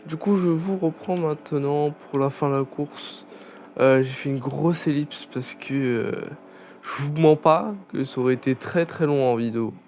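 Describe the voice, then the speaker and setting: Slow, rambling young man chatting. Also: a little high, darkish tone, a little hiss or hum. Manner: rambling, chatty with many fillers and restarts. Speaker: gaming channel host. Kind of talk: casual gameplay commentary.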